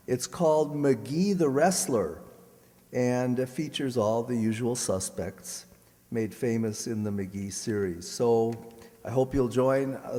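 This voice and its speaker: Slow-paced man in an echoey hall. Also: medium pitch, warm tone. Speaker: director announcing upcoming shows. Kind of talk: live announcement to an audience. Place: large indoor township auditorium, on stage. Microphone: stage microphone. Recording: echoey auditorium with long reverb.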